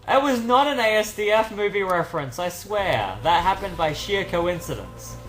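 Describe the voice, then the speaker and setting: A man chatting casually as he plays, with a very lively, swooping delivery. He rambles and jokes, breaking into exclamations. Game music runs clearly behind him. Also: high-pitched, normal speed. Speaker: let's play host. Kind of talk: casual gaming banter. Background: video game music.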